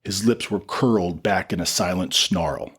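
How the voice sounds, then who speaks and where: Low-voiced man narrating a dramatic story. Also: measured pace, a bit bright, husky, dry recording, clean studio sound.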